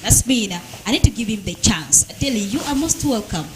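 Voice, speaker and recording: A woman's voice: on the high side, expressive, brisk, reverberant. A woman chatting casually as the host of a TV interview. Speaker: TV show host. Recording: noisy studio recording with clicks.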